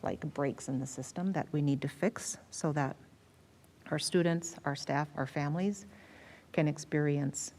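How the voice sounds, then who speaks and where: A woman's voice: low-pitched, measured pace, a little mellow. An American woman, a school district superintendent, explaining things in a meeting presentation and repeating words for emphasis. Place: school board meeting room.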